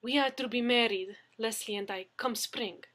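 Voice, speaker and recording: A woman acts out a demure young Russian lady from a storybook, high and bright in voice. She pleads and flatters theatrically in broken, Russian-accented English. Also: normal speed, close to an everyday voice. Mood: coy, affectionate.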